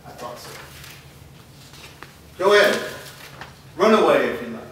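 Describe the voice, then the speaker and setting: A man's voice: mid-range voice, animated, a little slow, fairly bright tone. A man playing an adult live-in boyfriend with a drinking habit in a staged drama reading. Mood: cold, taunting. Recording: echoey room, distant mic, clear noise.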